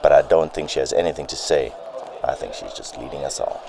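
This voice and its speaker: A man acting a stern, skeptical chief of police in an amateur radio drama, gruff and commanding. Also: very deep voice, brisk, noisy background.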